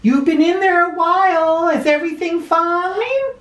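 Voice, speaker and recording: A woman's voice: very high voice, measured pace, fairly bright tone, pitched above the performer's own voice. A woman voicing a patient, overlooked First Lady with a tentative manner, in a children's storybook. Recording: roomy, somewhat far from mic.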